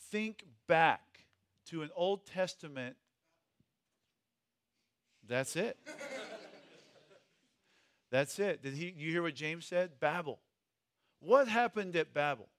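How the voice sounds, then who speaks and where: Male teacher, fairly high voice, informal and emphatic, question-led delivery, very dry recording. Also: animated, takes it slowly, long pauses, a bit bright, no room sound. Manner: conversational, asks questions, emphatic key words. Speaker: Bible teacher leading a group study.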